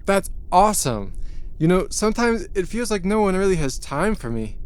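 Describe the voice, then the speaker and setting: A man's voice: high-pitched, big pitch swings, moderate pace, slight background noise. A man acting in an audio play as an earnest, lonely young moon colonist, speaking sincerely and a little hesitantly. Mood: tender, earnest.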